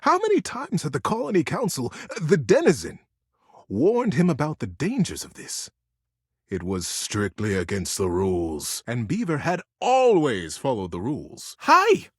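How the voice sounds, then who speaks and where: A man narrating a scripted children's story in a theatrical, expressive manner. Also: medium pitch, normal speed, husky, no echo, clean studio sound.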